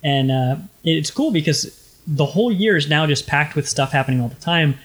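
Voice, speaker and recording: A man's voice: on the high side, normal speed. A young man with a light tenor voice, co-hosting a podcast in casual, rambling chat with many fillers. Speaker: podcast co-host.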